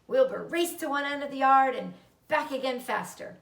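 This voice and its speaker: Woman reading a kids book out loud, highish voice, roomy echo, sounds distant. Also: expressive, moderate pace, creaky. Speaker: read-aloud narrator. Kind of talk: children's storybook reading. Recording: roomy, echoing, distant microphone.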